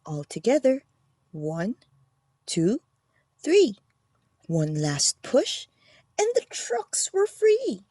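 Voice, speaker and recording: A woman narrating a children's picture book aloud with expressive, deliberate phrasing. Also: on the high side, no room sound. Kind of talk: animated storybook read-aloud.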